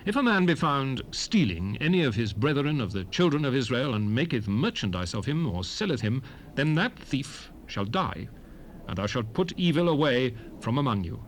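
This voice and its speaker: A man's voice: mid-range voice, sing-song, natural voice, low-quality recording. Solemn lawgiver played by a male actor for Bible drama: declamatory, wide-ranging intonation, faintly hissy old cassette sound. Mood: solemn, commanding. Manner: declamatory, deliberate, archaic diction. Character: solemn lawgiver proclaiming ancient commandments. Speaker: narrator reading scripture. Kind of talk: dramatized King James Bible reading. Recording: hissy 1978 cassette rip.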